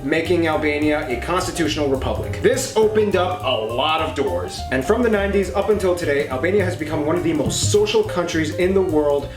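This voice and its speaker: Lively, higher-pitched man presenting over music. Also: fairly quick, hardly any pauses. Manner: playful, informal wording with dramatized asides. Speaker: educational geography show presenter. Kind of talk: scripted educational narration. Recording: roomy echo, music underneath.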